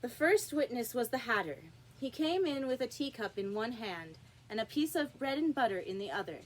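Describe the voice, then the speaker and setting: A woman reading a children's classic aloud as an expressive storybook narrator. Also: fairly high pitch, normal speed, low-quality recording. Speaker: storybook reader.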